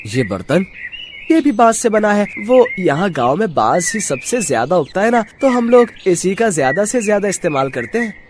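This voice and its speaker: A man voicing an innocent, chatty village child who explains things eagerly in an animated story. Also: high-pitched, normal speed, background noise. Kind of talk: animated moral-story dialogue.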